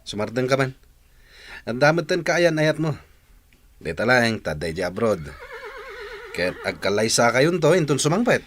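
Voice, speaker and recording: A young playboy ranch owner, acted by a man, talks offhandedly, his pitch moving freely up and down. A faint hiss sits behind his voice. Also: sing-song, unhurried, pauses often, fairly bright tone. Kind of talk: amateur radio comedy drama.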